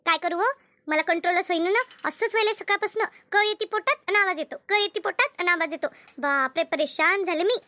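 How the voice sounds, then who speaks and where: A woman voicing a very high-pitched gluttonous cartoon housewife. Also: a little fast, bright, forceful tone. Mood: moaning, suffering. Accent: rural Maharashtrian Marathi. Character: gluttonous, stubborn, whiny village housewife in a comedy cartoon. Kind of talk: exaggerated comic cartoon dialogue.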